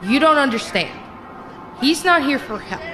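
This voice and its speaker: A woman's voice: high voice, lively intonation, moderate pace, rough recording. A woman playing a weary, bitter psychiatric ward nurse with an emphatic manner in a horror audio drama. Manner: exasperated, emphatic.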